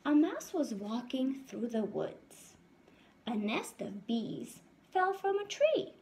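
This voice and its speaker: Animated, slow-paced woman teacher. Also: fairly high pitch, slight background noise. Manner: animated, instructive, addressing children. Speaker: first-grade teacher. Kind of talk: read-aloud to young children, question-led. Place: indoors in a home room.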